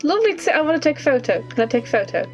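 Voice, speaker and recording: A woman's voice: high voice, sing-song, rapid-fire. A woman doing casual, chatty gameplay commentary, thinking aloud and reacting expressively as she plays. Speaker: gaming streamer. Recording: slightly dull, game music behind. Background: faint game music.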